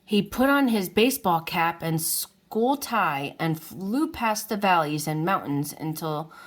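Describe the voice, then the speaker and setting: A woman reading a children's storybook aloud in an unhurried way, as if to young listeners. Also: lowish voice, expressive. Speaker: children's book reader. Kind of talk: read-aloud storybook narration.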